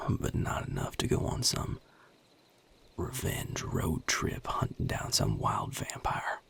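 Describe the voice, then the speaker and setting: A man playing a weary, protective vampire sire in an intimate audio roleplay, with a Southern US accent, a husky, gravelly low voice and a folksy, guarded drawl. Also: very animated, takes it slowly, a bit bright, whispered, heavily acted. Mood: weary, resolute. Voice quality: very deep, gravelly, husky and breathy. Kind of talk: audio roleplay drama, intimate one-sided dialogue.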